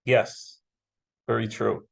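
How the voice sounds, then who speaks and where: A man's voice: on the low side, level tone, takes it slowly, vocal fry, slightly rough. An American man moderating an interview in a casual, agreeable, conversational style, often echoing and affirming.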